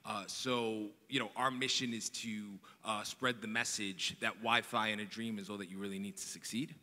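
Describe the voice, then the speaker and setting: A man from New York speaking on a panel with a bright, forceful edge to a lowish voice. He tells his story with earnest energy, and a large hall's long reverb trails after his words. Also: little pitch movement, moderate pace. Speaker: panelist, nonprofit founder. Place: large conference hall.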